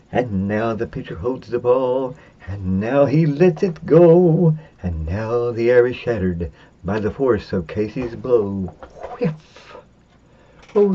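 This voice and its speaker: A man giving a semi-dramatic reading of a narrative poem, theatrical and drawn-out, with big swings for suspense and excitement. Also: mid-range voice. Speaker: poetry reader. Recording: dull, lo-fi sound.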